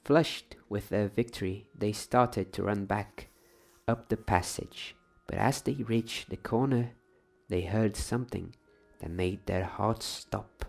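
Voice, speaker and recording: A soft-spoken man reading a novel as an ASMR bedtime story in a hushed, deliberate, dramatised way. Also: lowish voice, creaky.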